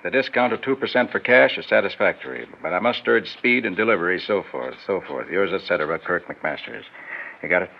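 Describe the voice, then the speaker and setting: A muffled old radio drama recording of a powerful, brusque businessman. His voice sits low and moves widely in pitch, with clipped, commanding phrasing that hides some nerves. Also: expressive, a little fast, gravelly, full character voice. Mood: brusque, businesslike. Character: domineering, brusque, successful businessman, secretly nervous. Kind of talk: 1960s radio drama acting.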